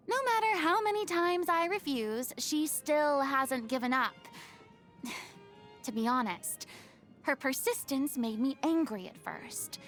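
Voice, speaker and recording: A proud young former star of the stage in a video game. Her voice sits high, and she speaks theatrically, vain and boastful yet easily flustered and vulnerable underneath. Faint music plays behind her. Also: a bit bright. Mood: hesitant, wistful. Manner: theatrical, boastful, prone to sighs and flustered hesitation. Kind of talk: video game character monologue.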